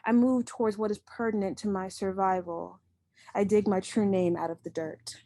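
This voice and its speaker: A young woman reads her poetry at home over a computer mic, her voice sitting at an ordinary middle pitch. She recites slowly and deliberately and gives each line its weight. Between poems she drops into loose, chatty remarks. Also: steady tone, mellow, dry recording. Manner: slow, measured, deliberate recitation. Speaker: poet and host of the reading. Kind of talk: poetry reading.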